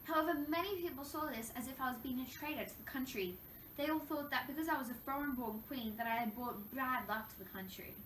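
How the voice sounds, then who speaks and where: A woman's voice: high-pitched, fast, a bit bright. A woman playing a wronged young French queen in a scripted interview role play, recounting her troubles in a formal, recited way. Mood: troubled, earnest. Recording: echoey room, distant mic, faint noise.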